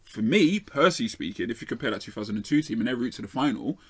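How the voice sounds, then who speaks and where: A man's voice: mid-range voice, expressive, very fast, husky. A man with a London accent arguing his points emphatically as a panelist on a football debate show. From South London, England. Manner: emphatic, argues with rhetorical tags like "right?". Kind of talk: opinionated sports debate.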